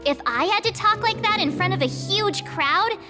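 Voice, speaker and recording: A woman's voice: extremely high pitch, lively intonation, normal speed. A woman voicing a perky, friendly teenage girl adventurer in a video game, with a girlish, chummy way of talking. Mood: cheerful, friendly. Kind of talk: animated video game acting. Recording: long reverb, music behind.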